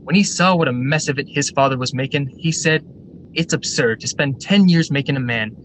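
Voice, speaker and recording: An actor playing a brisk, eager factory general manager in a radio drama, formal and articulate. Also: male voice, lightly acted. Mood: eager storytelling. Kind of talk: stage-style radio drama acting. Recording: noisy radio drama recording, steady rumble.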